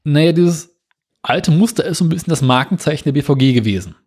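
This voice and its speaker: A man co-hosting a casual podcast, explaining news items in a relaxed, dry way. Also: fairly high pitch, quick pace, breathy edge. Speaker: podcast co-host.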